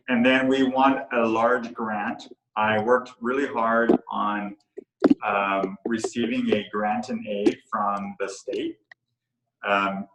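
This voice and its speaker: A middle-aged man, the co-founder of a coworking space, talking casually and haltingly with many fillers and restarts. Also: on the low side, fairly bright tone. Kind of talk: casual talk on a virtual tour. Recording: echoey room.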